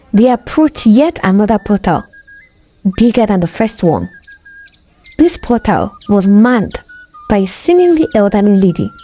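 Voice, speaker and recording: A woman narrating a scripted folktale in a dramatic, deliberate storytelling manner. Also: medium pitch, mellow.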